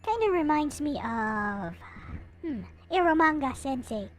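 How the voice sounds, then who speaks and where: A woman's voice: high voice, lively intonation, measured pace, slight background noise. A woman giving a casual, playful show-and-tell commentary as a streamer presenting collectibles. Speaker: streamer showing collectible anime figures. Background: music.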